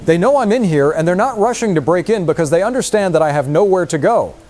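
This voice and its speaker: A man's voice: sits high, lively intonation, quick pace, darkish tone. A man playing a trapped survivor broadcasting a grim, urgent and formally worded last report in an apocalyptic radio drama. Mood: urgent, fatalistic.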